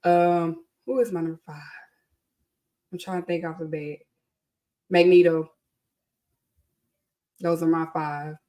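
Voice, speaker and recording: A woman's voice: fairly low pitch, steady tone, slow, long pauses, mellow. A woman in her thirties hosting a podcast in casual solo chat, rambling with lots of ums and asides, her voice a bit congested from allergies. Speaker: podcast host.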